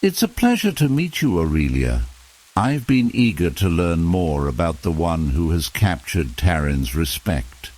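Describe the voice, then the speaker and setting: A man voicing a kind, gentle young male healer and scholar in a bedtime storybook. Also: deep voice, sing-song, a little slow. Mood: eager, friendly. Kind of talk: bedtime storybook character reading.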